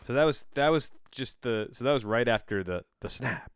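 A man's voice: mid-range voice, lively intonation, moderate pace, clean tone, dry recording, clean studio sound. A man hosting a podcast, reading aloud with casual, rambling commentary and incredulous, sardonic asides. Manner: casual swearing. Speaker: podcast host. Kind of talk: casual solo commentary while reading a plot synopsis aloud.

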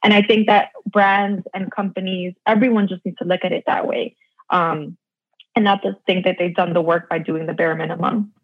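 A woman's voice: lowish voice, fairly even intonation, normal speed, no echo. A woman who grew up in New York, talking enthusiastically in a casual conversation. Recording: dull, dry audio.

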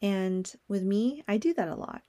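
A woman's voice: medium pitch, expressive, normal speed, mellow. A young woman hosting a solo podcast, talking in a candid, personal way and swearing now and then. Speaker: podcast host.

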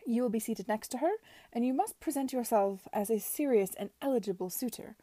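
Brief: woman reading haughty older lady aristocrat, curt, condescending, mellow tone. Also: a little high, a little fast, no room sound, voice pushed up, natural voice. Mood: imperious.

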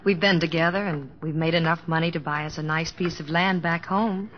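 An actress playing an affectionate, practical young wife in an old radio drama, speaking with gentle, teasing warmth as she tells her story. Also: low voice, fairly even intonation, a little fast, a little hiss or hum, lightly acted. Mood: fond. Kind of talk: 1950s radio drama acting and narration. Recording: dull old radio broadcast with music.

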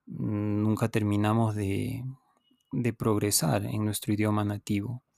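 A native Spanish-speaking man talks at a very slow pace in a fairly low voice, recorded dry and close. He sounds like a teacher hosting his own podcast, explaining each point deliberately, pausing, repeating and addressing the listener directly. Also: little pitch movement, creaky, a little mellow, dry recording. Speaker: podcast host and language teacher. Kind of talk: unscripted instructional podcast monologue.

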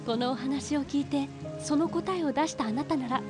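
A woman voicing a serene, motherly dream-tree spirit, gentle and knowing, in a storybook audio drama. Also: high-pitched, moderate pace, over music, natural voice. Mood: tender. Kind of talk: Japanese audio drama acting.

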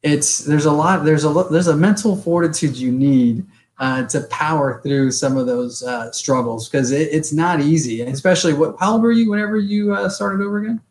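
A man's voice: a little high, normal speed, a little mellow. A middle-aged man hosting a casual interview, chatting candidly and rambling through his own stories. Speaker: podcast host.

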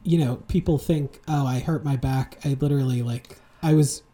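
A young man in his twenties co-hosting a chatty podcast from a room at home. His voice is dark and mellow, and he rambles through animated stories full of "like" and "you know". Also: medium pitch, moderate pace. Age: 20s. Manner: rambling, animated storytelling with many fillers. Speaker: podcast co-host. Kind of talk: casual podcast chat. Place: home room, seated with a heating pad.

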